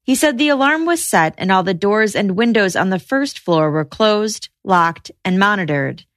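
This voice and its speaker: A woman's voice: mid-range voice, lively intonation, moderate pace, fairly bright tone, dry recording. Woman narrating true crime, dry close mic. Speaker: podcast host and narrator.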